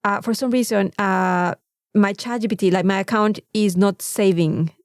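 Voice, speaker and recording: A woman with a Mexican accent telling an anecdote in a casual, animated podcast chat, swearing freely. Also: mid-range voice, moderate pace, no room sound, studio quality. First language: Spanish. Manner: animated storytelling with frequent swearing. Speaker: podcast co-host.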